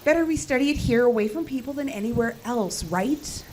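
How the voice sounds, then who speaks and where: A woman's voice: fairly high pitch, expressive, brisk, a little mellow, slight background noise, natural voice. A woman acting an anxious, insistent hiker in an audio horror drama. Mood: urgent, reasoning.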